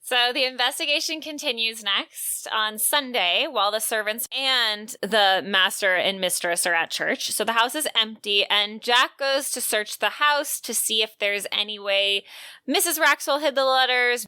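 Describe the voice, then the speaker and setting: Crisp, bright woman podcaster, playfully informal. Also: a little high, dry recording. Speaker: podcast co-host. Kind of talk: casual book discussion and recap.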